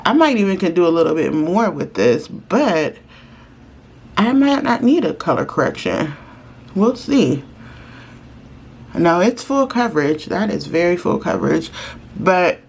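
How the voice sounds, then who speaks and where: A woman's voice: on the low side, very animated, vocal fry, darkish tone, slightly rough. A woman beauty vlogger, talking casually through a makeup review, sounding congested and chatty. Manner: chatty, friendly, slows down while applying makeup.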